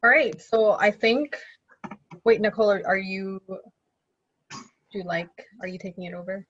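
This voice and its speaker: A woman's voice: medium pitch, steady tone, a little slow, a little hiss or hum. Upbeat woman moderator on a lo-fi video call. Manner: upbeat, exclamatory reactions. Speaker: panel moderator. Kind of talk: casual online panel hosting.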